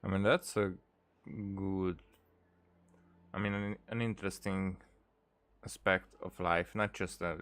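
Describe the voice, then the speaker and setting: A man giving casual let's play commentary, musing reflectively and haltingly, often repeating his words. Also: deep voice, steady tone, a bit bright. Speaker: game streamer. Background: faint game music.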